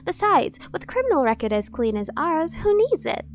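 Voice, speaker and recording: A woman's voice: high-pitched, very animated, brisk, soft background music. A woman playing a devoted, obsessive female bodyguard in an ASMR roleplay, persuasive and insistent while feigning professionalism. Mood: smooth persuasion.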